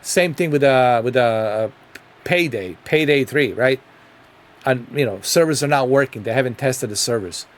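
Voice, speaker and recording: A man's voice: medium pitch, normal speed. Bosnian-accented male vlogger, middle-aged, rambling casual game commentary full of fillers. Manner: rambling, repeats himself, frequent ums and uhs. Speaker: gaming video creator.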